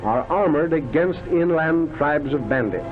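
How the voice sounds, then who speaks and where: A man narrates an old travel documentary through a thin, phone-like vintage soundtrack, with loud music playing behind him. His voice sits a little high, and he delivers the script in a declamatory, florid 1930s newsreel manner. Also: animated, measured pace. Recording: tinny band-limited vintage film soundtrack, loud music. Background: loud background music.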